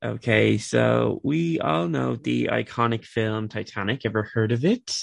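Laid-back young man with a gravelly voice. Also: mid-range voice, unhurried, dry recording. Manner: laughs often, easygoing, reads news in a light tone. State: a bit sniffly. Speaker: podcast host. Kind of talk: casual chat, reading news items.

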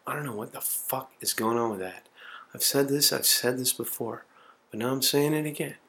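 A man's voice: medium pitch, moderate pace, a bit bright. A man in his forties rambling in a profane, self-mocking way to his home camcorder. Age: 40s. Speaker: video diarist. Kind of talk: casual self-recorded monologue.

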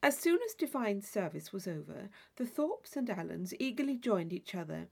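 A woman reading a classic novel aloud as scripted narration, in a measured, expressive storytelling manner. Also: mid-range voice, vocal fry. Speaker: audiobook reader.

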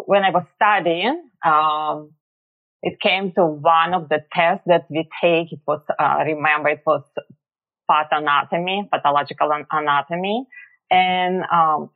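A woman in her forties with a Russian accent, a guest telling her personal story haltingly, pausing often as she searches for words. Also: low voice. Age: 40s. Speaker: podcast guest. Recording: phone-like narrow line.

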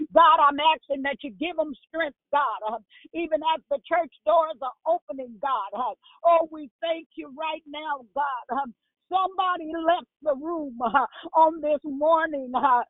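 Woman praying hard on a prayer line, lots of uh's, high voice, dry phone sound. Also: no room sound, narrow, tinny audio. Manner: fervent, repetitive, with frequent uh fillers and rising cries. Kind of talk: spontaneous spoken prayer. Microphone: telephone.

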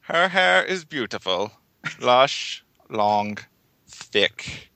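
Brief: creepy, sinister billionaire, a man doing it, slow and leering, swooping wildly in pitch, bright tone. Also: big pitch swings, gravelly, dry recording, heavily acted, low-quality recording. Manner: slow, menacing, leering delivery. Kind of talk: comic dramatic reading.

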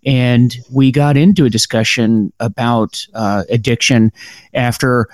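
An American man hosts a podcast interview. His pitch sits in the middle, and he talks in a loose, rambling way with frequent fillers and restarts. The sound is dry, with no room echo. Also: steady tone, a little slow. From United States. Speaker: podcast host. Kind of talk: casual interview.

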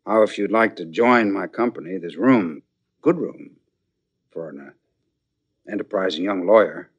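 An actor playing a middle-aged, formal businessman father in a TV drama, halting and deliberate in an uneasy way. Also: deep voice, expressive, breathy edge, full character voice. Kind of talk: 1980s primetime TV drama acting. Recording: muffled old TV drama soundtrack.

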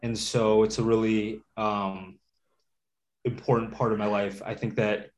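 A middle-aged man from Latvia talks through his memories at an easy pace, circling back and restarting as he thinks. His voice sits a little low for a man. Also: flat delivery, unhurried, darkish tone. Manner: thoughtful, many fillers and restarts. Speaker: guest. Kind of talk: casual interview conversation.

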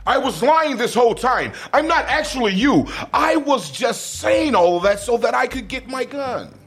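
A man's voice: very high-pitched, expressive, moderate pace, a bit bright, slightly rough, faint music behind, full character voice. A man reading a comedic script aloud as a boastful, scheming action-movie villain, declaiming melodramatically. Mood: gloating. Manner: melodramatic, declaiming, explains his schemes at length.

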